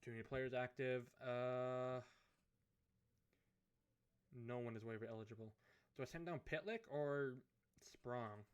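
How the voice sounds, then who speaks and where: A man with a hoarse, fading voice, thinking aloud as he commentates a hockey management game. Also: mid-range voice, fairly even intonation, lo-fi sound. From Canada. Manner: musing aloud, unhurried, thinking through choices. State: hoarse, losing his voice. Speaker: gaming commentator. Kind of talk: let's play commentary of a management sim.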